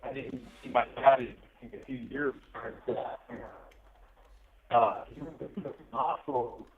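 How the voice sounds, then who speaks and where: A man's voice: medium pitch, fairly even intonation, measured pace. Middle-aged man on a tinny phone line. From Southern California. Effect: telephone line. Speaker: incarcerated interview guest calling from prison. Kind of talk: casual personal storytelling and reflection. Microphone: telephone handset.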